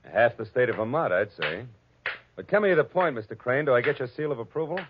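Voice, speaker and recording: An actor playing a wry, hard-boiled detective with an American accent and a dry, laconic delivery in the style of 1940s radio. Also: male voice, medium pitch, sing-song, fast, noisy background, natural voice. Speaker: lead actor and narrator. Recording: dull old radio recording with music.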